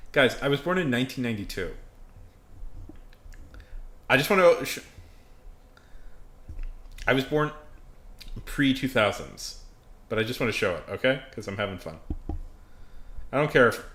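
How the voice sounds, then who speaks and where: A man in his thirties chatting casually on a livestream in a rambling, excitable, self-deprecating way. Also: mid-range voice, moderate pace, long pauses, echoey room. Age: 30s. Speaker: livestream host.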